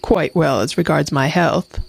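A woman's voice: sits low, a little slow, vocal fry, slight background noise, exaggerated voice. A woman voicing a greedy, hungry male wolf who talks bluntly and impatiently.

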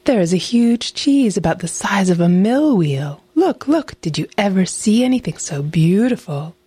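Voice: medium pitch, sing-song, normal speed, darkish tone, no room sound, voice pushed up, close to an everyday voice. A storybook narrator voicing a sly female fox, a sweet-talking and mocking trickster who coaxes and exclaims. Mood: excited, coaxing. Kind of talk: animal fairy tale storytelling.

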